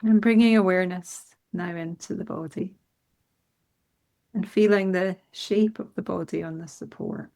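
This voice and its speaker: A woman leading a guided meditation in a soothing, unhurried manner with gentle instructions. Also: on the low side, steady tone, creaky.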